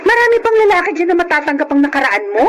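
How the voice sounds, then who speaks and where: Blunt, high-voiced best friend. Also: female voice, big pitch swings, very fast, over music. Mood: firm, protective. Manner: frank, admonishing. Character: blunt, protective young female best friend. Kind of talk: Filipino radio drama acting.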